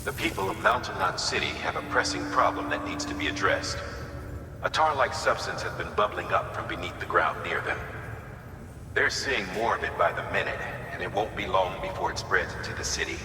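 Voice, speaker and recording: A man's voice: low voice, expressive, fairly quick. An actor playing a businesslike male commander who formally gives mission orders in a video game, in an authoritative manner. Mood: stern, urgent. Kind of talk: dramatic game mission briefing. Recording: echoey hall reverb, clear background noise.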